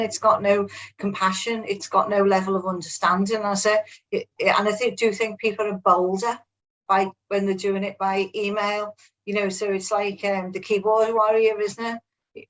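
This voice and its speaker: A middle-aged woman contributes to a group discussion. Her pitch is average and stays quite flat, and she comes across as earnest and plain-spoken. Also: low-quality recording. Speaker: manager taking part in a webinar. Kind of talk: sharing reflections in a group discussion. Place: online video call.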